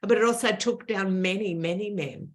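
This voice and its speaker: A woman's voice: medium pitch, moderate pace. A woman in her sixties, a guest in a conversational interview, speaking earnestly and emphatically and repeating words for stress.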